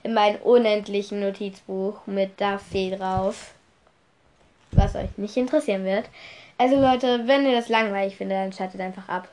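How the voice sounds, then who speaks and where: A woman's voice: mid-range voice, steady tone, normal speed, darkish tone. A young woman with a cold, chatting casually on her solo podcast and rambling through her notes, spelling words out letter by letter. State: stuffy nose from a cold. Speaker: podcast host.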